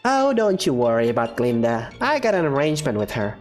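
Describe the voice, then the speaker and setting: A woman's voice: very deep voice, very animated, fairly quick, a little mellow, faint music behind. A woman voicing a cheerful, reckless female professor who lectures breezily and teases her assistant. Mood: teasing. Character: eccentric, cheerful female dust science professor. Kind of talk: fan game voice acting.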